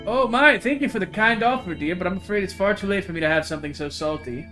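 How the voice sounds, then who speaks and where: A man's voice: high-pitched, fast talker, sharp tone, voice pushed up. A man voicing a kindly older small-town woman, gracious, chatty and folksy, in a visual novel. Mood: gracious, welcoming. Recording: game music behind the voice.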